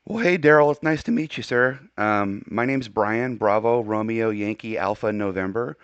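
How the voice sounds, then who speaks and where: A man's voice: fairly low pitch, normal speed, clear, resonant tone, no echo, muffled recording. An American man working as an amateur radio operator, speaking courteously in a casual on-air radio style. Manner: courteous, spells with phonetic alphabet.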